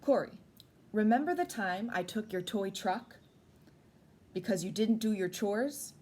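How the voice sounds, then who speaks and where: A woman's voice: mid-range voice, expressive, measured pace, darkish tone. A woman reading the part of a patient mother explaining things to her children in a picture book. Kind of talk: picture book read-aloud. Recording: noisy background.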